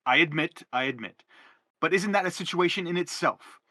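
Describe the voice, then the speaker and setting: A man's voice: fairly high pitch, moderate pace, bright, forceful tone, no room sound, studio quality. A man playing a self-justifying middle-aged father in a stage play, philosophizing earnestly in a wordy, declamatory way. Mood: defensive. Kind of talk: theatrical stage-play reading.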